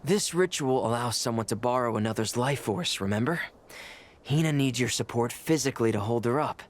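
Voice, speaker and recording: An actor playing a driven, scheming ritual caster in a fantasy video game, with a measured, assured, explanatory delivery. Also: male voice, medium pitch, natural voice. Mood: calm, instructing. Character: driven, scheming adult male ritual caster, outwardly composed. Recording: music under the voice. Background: game music.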